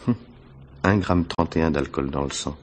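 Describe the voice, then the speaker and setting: An actor playing a blasé hospital intern in a 1950s-style French radio crime drama, offhand and unhurried. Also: male voice, very deep voice, a little hiss or hum. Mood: blasé, clinical. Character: blasé hospital intern, casually reporting on a patient.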